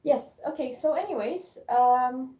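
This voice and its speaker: A woman with a French accent whose first language is French, chatting hesitantly on a live stream recorded on her phone, with many pauses and fillers. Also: high-pitched, mellow, echoey room. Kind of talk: casual live-stream chat.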